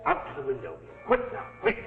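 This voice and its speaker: An actor playing a smug, commanding gang leader in 1940s television suspense drama, given to orders and self-satisfied speeches. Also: male voice, quick pace, natural voice. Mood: urgent, commanding. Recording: tinny, band-limited 1949 TV soundtrack, loud music.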